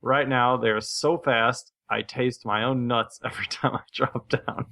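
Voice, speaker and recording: A man's voice: medium pitch, steady tone, measured pace, creaky, sharp tone. A man co-hosting a gaming podcast in casual, informal talk. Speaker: podcast co-host.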